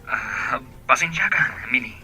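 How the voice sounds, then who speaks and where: Sharp, husky Ilocano boyfriend. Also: male voice, medium pitch, lively intonation, measured pace, faint music behind, exaggerated voice, low-quality recording. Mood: guilty, hesitant. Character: evasive, apologetic unfaithful boyfriend. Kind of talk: Filipino radio drama acting.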